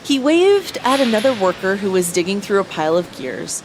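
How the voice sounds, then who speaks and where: A woman's voice: mid-range voice, sing-song, normal speed, noisy background, lightly acted. A woman playing an earnest young space pilot who narrates her own story in an audio drama. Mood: reflective narration. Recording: clear vehicle ambience behind voice.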